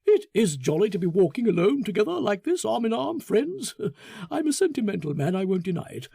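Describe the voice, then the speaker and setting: A man's voice: sits high, big pitch swings, fairly quick, gravelly, mellow, husky, no echo, clean studio sound, voice pushed up, heavily acted. A man voicing an eager, self-satisfied, sentimental and patronizing thin man in an audiobook, prattling on effusively. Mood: sentimental, chummy. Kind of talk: audiobook character acting.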